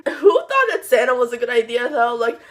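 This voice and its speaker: A young woman with a fairly high voice chats through a casual book review. She gushes and rambles, full of "like" and exasperated asides. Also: sing-song, brisk. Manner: chatty, gushing and rambling, with frequent "like" and exasperated asides.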